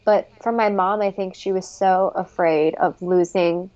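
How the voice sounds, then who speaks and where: A woman's voice: on the low side, steady tone, a little slow, a little mellow, highs cut off. A young adult woman talking earnestly in a casual conversation and filling her speech with "like". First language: English. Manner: earnest, frequent "like" fillers.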